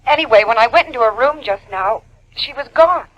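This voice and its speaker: A woman's voice: a little high, animated, brisk, fairly bright tone. A woman playing an anxious mother in an old-time radio drama. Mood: distraught. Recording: noisy, muffled vintage radio recording.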